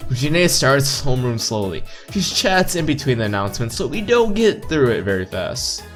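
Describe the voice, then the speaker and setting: A man's voice: medium pitch, very animated, moderate pace. A man reading visual novel narration aloud with playful, casual asides. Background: game music.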